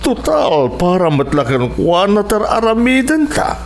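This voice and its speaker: A man's voice: high voice, big pitch swings, measured pace, a little hiss or hum, heavily acted. A man acting a stern middle-aged father in a radio drama, lecturing firmly. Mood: firm, explaining. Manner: firm, lecturing. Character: stern middle-aged father teaching his son hardship.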